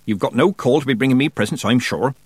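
A man's voice: mid-range voice, very animated, normal speed, fairly bright tone, slightly rough, no echo, voice pushed up. A man voicing a stern, prickly old country witch in an audiobook, with a growling voice and brusque, folksy turns of phrase. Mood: stern, grudging. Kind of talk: audiobook character voice.

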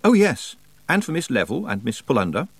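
Earnest young apprentice witch, male narrator playing her, proud and direct, lightly raised storybook voice, lively pitch. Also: a little fast, creaky, breathy edge, no room sound, heavily acted. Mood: frank. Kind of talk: audiobook character dialogue.

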